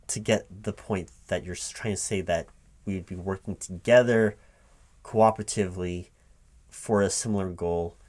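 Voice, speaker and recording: A man's voice: low-pitched, darkish tone. A man playing a flustered but polite recruiting manager in a one-sided ASMR interview roleplay, hesitating, repeating words and asking puzzled questions. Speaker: job interviewer. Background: computer keyboard typing.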